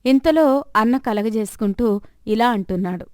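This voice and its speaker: A woman's voice: on the high side, moderate pace, darkish tone, clear, resonant tone, no room sound. Dry-sounding woman storyteller. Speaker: storyteller, narrator. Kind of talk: scripted moral story narration.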